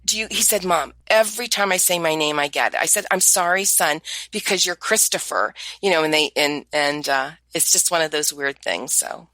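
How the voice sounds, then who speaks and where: A middle-aged woman with a head cold, co-hosting a casual podcast chat and praising her guest warmly. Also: deep voice, lively intonation, moderate pace, hardly any pauses, no echo. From United States. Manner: effusive, heaps praise on guests. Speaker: podcast co-host, interviewer.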